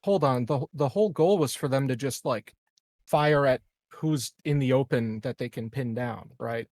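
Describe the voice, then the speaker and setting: A man's voice: medium pitch, normal speed, a little mellow, no echo. A man playing a tabletop game, talking casually and bluntly as he works out his moves. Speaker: tabletop RPG player.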